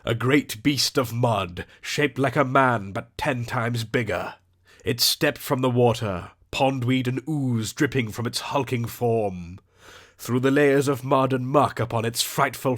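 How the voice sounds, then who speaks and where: A man's voice: mid-range voice, measured pace. A man narrating a scripted audiobook adventure in a theatrical, descriptive storytelling manner.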